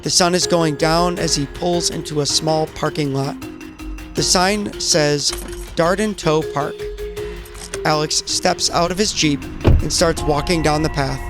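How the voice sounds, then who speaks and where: A man's voice: fairly high pitch, measured pace, over music. A man reading cinematic scripted narration as a storyteller. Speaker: narrator and player.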